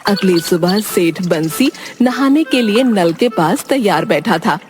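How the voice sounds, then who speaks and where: A woman's voice: medium pitch, animated, slow pace. A woman narrating a scripted children's story as the storyteller. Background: background music.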